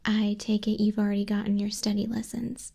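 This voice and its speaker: A woman playing an exacting female griffin flying instructor in a hushed, breathy, measured ASMR roleplay, close to a binaural microphone. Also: mid-range voice, little pitch movement. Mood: exacting, composed. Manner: measured, unhurried, strict examiner tone. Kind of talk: soft-spoken, whispery ASMR roleplay.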